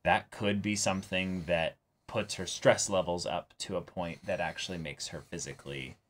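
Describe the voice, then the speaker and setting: A man's voice: low voice, fairly even intonation, moderate pace, fairly bright tone. A man in casual discussion as co-host of a reaction show, thinking aloud and hedging as he goes. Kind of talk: casual discussion of an anime episode.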